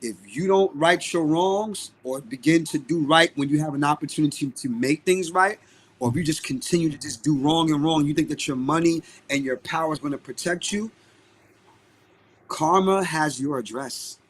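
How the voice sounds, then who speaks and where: A man's voice: on the high side, normal speed, slight background noise. A man with a Brooklyn accent speaking as a guest in a podcast interview, outspoken and emphatic, repeating phrases for stress. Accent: Brooklyn, New York. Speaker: guest, singer and music producer. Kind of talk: live podcast interview talk.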